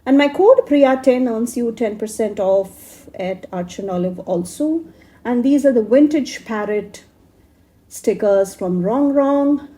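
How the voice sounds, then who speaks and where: Woman crafter, slightly hoarse from a cold, mellow voice, slow halting voice-over narration. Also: medium pitch. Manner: slow, halting, many fillers and restarts. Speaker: craft YouTuber.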